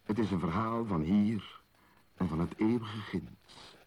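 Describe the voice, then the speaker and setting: Slow, husky, mysterious male storyteller. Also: on the low side, a little mellow. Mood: mystical, solemn. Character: mysterious solemn male storyteller addressing the listener intimately. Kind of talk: poetic radio-play narration.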